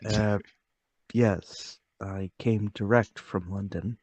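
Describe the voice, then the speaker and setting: A man's voice: fairly low pitch, fairly even intonation, slow, a little mellow, no room sound. A man playing a meek, troubled middle-aged salesman in a radio play table read, hesitant and apologetic. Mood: reserved.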